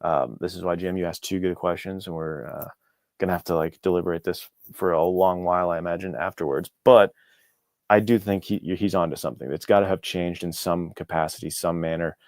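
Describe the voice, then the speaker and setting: A man's voice: low voice, steady tone, a little fast, darkish tone, dry recording, studio quality. A young American man talking as a podcast guest in a reflective, informal, loosely phrased way. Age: young adult. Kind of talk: casual interview chat.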